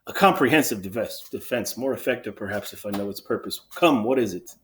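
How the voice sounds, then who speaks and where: A man's voice: lowish voice, normal speed, a little hiss or hum, natural voice. A man playing a stern, unbending king, declaiming formally and emphatically in a dramatic reading of Greek tragedy. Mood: impatient.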